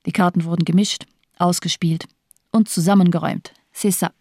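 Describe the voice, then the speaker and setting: A cold, cynical female doctor from a radio crime play. Her voice is low and dark, recorded close and dry. She declaims her bleak, nihilistic view of mankind with disdain. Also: steady tone, normal speed, no room sound. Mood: bleak, impassioned. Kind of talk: German radio crime drama monologue.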